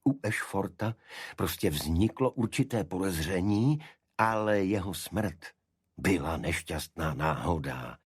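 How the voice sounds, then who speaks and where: A male narrator puts on a secretive senior official in a detective audiobook. He is formal and evasive, explaining carefully in a low, husky voice with a very dry sound. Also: expressive, no echo. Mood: defensive.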